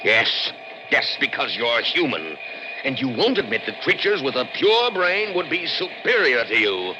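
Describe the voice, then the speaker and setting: An actor in a 1960s radio drama playing a brooding, intense scientist with theatrical, deliberate emphasis. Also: male voice, medium pitch, sing-song, over music. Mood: intense, musing. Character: obsessive brilliant male scientist, brooding and intense. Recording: lo-fi old radio broadcast recording.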